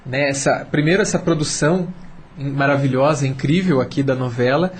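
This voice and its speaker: A man's voice: mid-range voice, normal speed, breathy edge. A Brazilian man explaining earnestly and conversationally as a guest on a radio panel, sometimes posing rhetorical questions.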